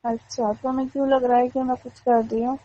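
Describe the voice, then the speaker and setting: A woman's voice: high-pitched, flat delivery, fairly quick. A young woman chatting intimately and casually on a recorded phone call, giving coy, short, teasing replies.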